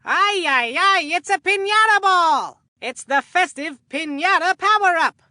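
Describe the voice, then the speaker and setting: A woman voicing an excitable, folksy male baseball commentator in a video game, with a hammy announcer delivery. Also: very high voice, unhurried, no echo, studio quality, exaggerated voice. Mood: excited. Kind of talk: scripted video game sports commentary with puns.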